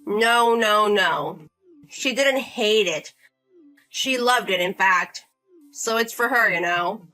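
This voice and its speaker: A woman's voice: medium pitch, slow, sharp tone, faint music behind, rough recording. A woman voicing an entitled, bullying older brother-in-law in his thirties who talks down to people and makes demands, in a voice-acted drama story. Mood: defensive. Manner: demanding, condescending, scolding.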